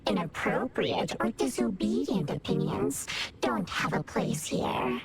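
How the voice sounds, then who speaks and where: An actress playing a solemn, ominous female servant in an anime game, speaking deliberately with loud music behind her. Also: medium pitch, expressive, creaky. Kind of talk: dramatic anime game storytelling.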